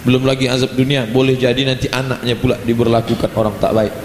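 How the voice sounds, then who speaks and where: Man, preacher, animated, roomy echoing hall, faint ambience. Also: medium pitch, fast, a little hiss or hum. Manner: lively, animated delivery with playful asides. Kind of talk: religious lecture.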